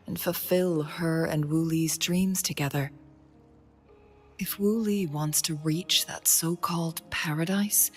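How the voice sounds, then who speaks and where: An actress playing a composed, thoughtful young female strategist in a game, speaking calmly with a soft breathy edge. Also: on the low side, natural voice. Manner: calm, measured, softly breathy. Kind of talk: video game cutscene dialogue.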